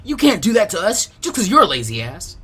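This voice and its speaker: A man acts out a brash young boy training as a ninja in an anime fan story. The voice is raised and loud, impulsive and whiny, quick to take offense. Music sits behind it. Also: high-pitched, wildly swooping pitch, slightly rough, voice pushed up, full character voice. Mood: indignant. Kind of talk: acted fan fiction reading.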